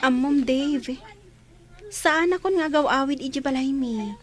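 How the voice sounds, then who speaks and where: A woman voices a humble servant girl in a radio drama. The voice sits high, with a polite, innocent and respectful way of talking. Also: a little slow. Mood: polite, open. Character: polite, humble girl working as a house helper. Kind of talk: Ilocano radio drama acting.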